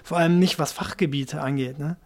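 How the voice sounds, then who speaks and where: A man's voice: on the high side, fairly quick, darkish tone. Chatty, rambling man. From Japan. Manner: rambling, self-correcting, chatty. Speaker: musician guest. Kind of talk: casual interview talk.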